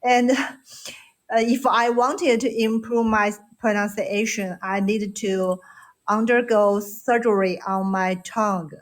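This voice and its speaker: Slow-speaking Chinese-accented woman. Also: mid-range voice, fairly even intonation. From China. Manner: hesitant, many fillers and restarts, laughs nervously. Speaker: caller on a live English voice room. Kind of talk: casual chat.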